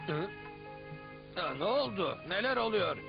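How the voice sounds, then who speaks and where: Cartoon master, flustered yet in charge, high register, dull soundtrack. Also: male voice, big pitch swings, moderate pace, gravelly, music in the background, full character voice. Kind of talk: dubbed educational cartoon acting.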